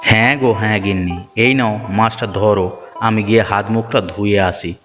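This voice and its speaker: An actor voicing a kind-hearted, poor fisherman in a cartoon, gentle and good-natured. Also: fairly low pitch, a little fast, long reverb tail, soft background music, natural voice. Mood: cheerful. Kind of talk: Bengali cartoon dubbing.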